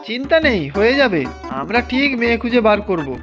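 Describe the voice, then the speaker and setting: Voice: medium pitch, very animated, moderate pace, close to an everyday voice. An actor voicing a helpful, eager young family member in a cartoon, in a reassuring, practical way. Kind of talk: cartoon voice acting. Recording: loud music behind the voice.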